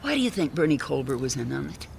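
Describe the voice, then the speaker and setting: An actress playing a helpful, inquisitive detective agency secretary in a radio drama, obliging and informal. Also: female voice, extremely low pitch, very animated, fast talker, gravelly, darkish tone, slight background noise, full character voice. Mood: questioning.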